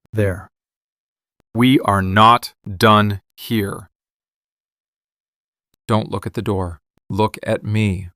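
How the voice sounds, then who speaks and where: A man playing a possessive, brooding mafia boss lover in a scripted boyfriend ASMR roleplay, menacing and commanding, with deliberate dramatic pauses. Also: on the low side, a bit bright, no room sound, studio quality.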